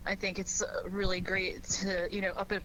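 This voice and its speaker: A woman's voice: medium pitch, moderate pace, poor audio. A woman talking casually as an actress on a panel, earnest and appreciative in a conversational way. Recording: clear steady background noise.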